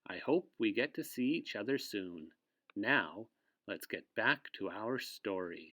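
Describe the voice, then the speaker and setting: A man's voice: on the low side, expressive, slow, fairly bright tone. A man who works as a teacher reads a picture book aloud to young children, with asides to his listeners.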